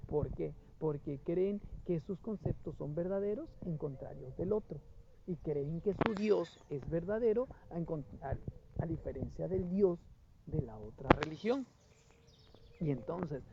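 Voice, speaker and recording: A man hosting a reflective spiritual talk, speaking unhurriedly and repeating phrases as he searches for his thoughts. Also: fairly high pitch. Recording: dull lo-fi with clear background noise.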